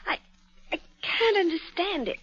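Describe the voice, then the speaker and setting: Overwrought young woman, very animated, high voice, 1940s melodrama on a dull recording. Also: measured pace, full character voice. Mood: distraught. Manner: dramatic, emotional. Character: distraught young society woman, impulsive and brave. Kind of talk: 1940s radio melodrama acting.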